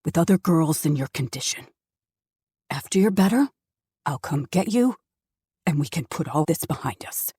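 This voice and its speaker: Resentful middle-aged dad, lowered and harsh, as read by a woman narrator, dry studio sound. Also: moderate pace, pauses often, slightly rough, dry recording, heavily acted. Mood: stiff. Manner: shouting, then cold and flat. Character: angry cold middle-aged father, resentful.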